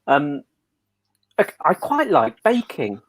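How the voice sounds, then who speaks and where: A man's voice: a little high, wildly swooping pitch, slow, no room sound. Man chatting casually, close dry sound. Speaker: pantomime dame performer, panel guest. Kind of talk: casual chat.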